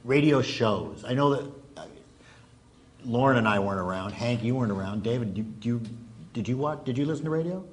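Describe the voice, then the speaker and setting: A man moderates a stage panel in a mid-pitched voice. He talks casually, doubling back on his phrases, with some background noise on the live microphone. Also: expressive, fairly quick. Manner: repeats and restarts his phrases. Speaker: panel moderator, high school teacher.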